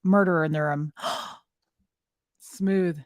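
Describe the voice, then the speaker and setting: A woman's voice: fairly low pitch, fairly even intonation, drawn-out words, no room sound, studio quality. A woman, co-hosting a livestream, chatting playfully and greeting viewers. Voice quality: slightly raspy. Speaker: livestream co-host. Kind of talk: casual chat reading out viewer names.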